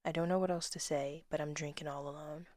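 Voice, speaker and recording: Woman, 20s; low-pitched, hushed reader of her own verse, dry close mic. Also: steady tone, quick pace, dry recording. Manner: soft-spoken, drifts into whispers, laughs at herself. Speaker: poet reading her own work. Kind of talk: casual reading of old poems with asides.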